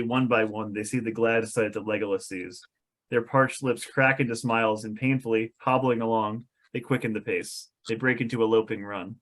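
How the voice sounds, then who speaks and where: A man reading out the stage directions of a fantasy script as narrator at a table read. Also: medium pitch.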